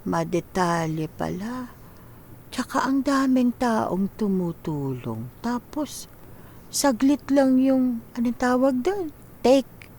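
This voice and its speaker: An actress playing a humble, curious older nanny in a Filipino radio drama, halting and folksy as she gropes for words. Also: fairly high pitch, big pitch swings, darkish tone, a little hiss or hum, heavily acted. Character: humble, curious older nanny woman, simple and warm-hearted.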